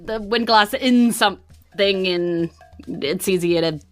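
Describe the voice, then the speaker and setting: A woman's voice: medium pitch, slow pace, faint music behind, close to an everyday voice. A woman voicing a practical, slightly flustered female nurse in a tabletop fantasy game. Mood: awkward, practical. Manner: hedging, explanatory.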